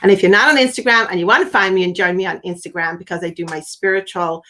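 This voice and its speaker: A woman's voice: lowish voice, fast talker, sharp tone. A middle-aged woman, a spiritual medium, chatting casually on a livestream in an upbeat way and greeting viewers by name. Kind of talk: casual live-stream chat.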